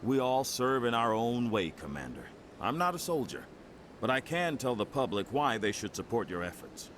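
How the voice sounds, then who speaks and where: An actor playing an earnest, smooth nationalist politician in a video game, formal and rhetorical. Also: male voice, mid-range voice, very animated, moderate pace, fairly bright tone, a little hiss or hum. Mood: earnest, persuasive. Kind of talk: video game dialogue acting.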